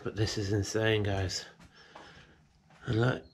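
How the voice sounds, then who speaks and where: A man's voice: fairly low pitch, little pitch movement, slow pace, noisy background. A British man giving casual commentary to viewers as he explores indoors with a handheld camera. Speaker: urban exploration vlogger. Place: inside rooms of an abandoned house. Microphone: handheld action camera on gimbal.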